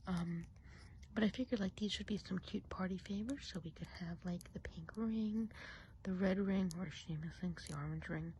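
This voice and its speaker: A woman playing a friendly, gossipy witch of the woods in a soft, breathy ASMR roleplay, often whispering and musing gently. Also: lowish voice, noisy background, close to an everyday voice. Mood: gossipy, chatty. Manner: chatty, gentle, unhurried musing.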